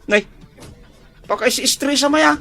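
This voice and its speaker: A man's voice: very high voice, a little slow, vocal fry, pushed and bright, soft background music, full character voice. A man playing an easygoing man chatting at home, in a radio drama. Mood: chatty.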